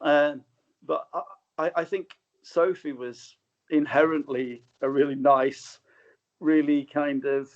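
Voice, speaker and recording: A man's voice: on the high side, steady tone, takes it slowly. A man speaking as a guest film director in a casual interview, hesitant and often correcting himself, on slightly dull remote audio.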